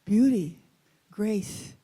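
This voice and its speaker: A woman's voice: medium pitch, extremely slow, dark tone, slightly rough. A woman storyteller from East Los Angeles, with an accent from there, telling a personal story expressively, weaving in Spanish words and translating them.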